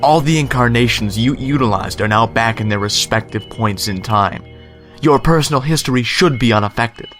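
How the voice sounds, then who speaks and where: A man's voice: mid-range voice, normal speed, a bit bright, soft background music, lightly acted. Man playing a solemn, deferential aide. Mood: dutiful. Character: deferential, formal male aide to a lord. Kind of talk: audio drama acting.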